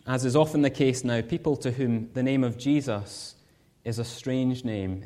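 A Scottish man preaches from the front of a church, earnest and measured. He builds his points through rhetorical questions. His voice sits at an ordinary middle pitch. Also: warm tone. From Scotland. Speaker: guest preacher. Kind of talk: sermon.

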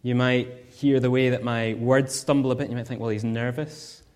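A married Scottish man giving a sermon as a guest preacher, earnest and measured, with rhetorical questions. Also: mid-range voice, steady tone, darkish tone. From Scotland.

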